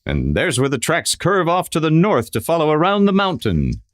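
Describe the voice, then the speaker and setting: A man's voice: fairly high pitch, wildly swooping pitch, moderate pace, no room sound, studio quality. A man playing an earnest, commanding American masked hero in a radio western. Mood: observant, resolute. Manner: earnest, authoritative.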